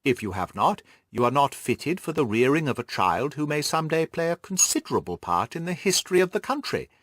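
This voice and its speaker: A man voicing a jovial, effusive, stout middle-aged gentleman in an audiobook, exclaiming and repeating his words genially. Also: fairly high pitch, big pitch swings, brisk, no room sound, studio quality. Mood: blustering, flattering. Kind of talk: audiobook character voice.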